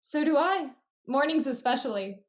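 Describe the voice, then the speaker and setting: A woman acts a devoted young wife in a vintage radio drama. Her voice sits high, and her manner is gently teasing. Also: lively intonation, moderate pace. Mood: tender, teasing. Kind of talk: old-time radio play acting.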